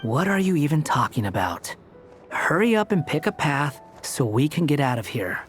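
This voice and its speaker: A man's voice: fairly high pitch, big pitch swings, normal speed, faint music behind. A man acting a blunt, aloof, sardonic young man in a video game, dry and impatient.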